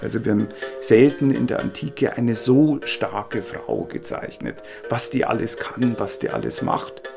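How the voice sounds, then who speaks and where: A man with a husky, creaky voice, a scholar explaining his subject spontaneously and enthusiastically in an interview. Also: medium pitch, measured pace, music in the background. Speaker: interviewed classical philologist.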